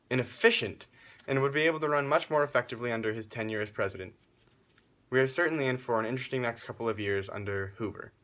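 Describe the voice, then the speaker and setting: Male news presenter, medium pitch, formal scripted period report, speaks straight to the viewer. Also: a bit bright.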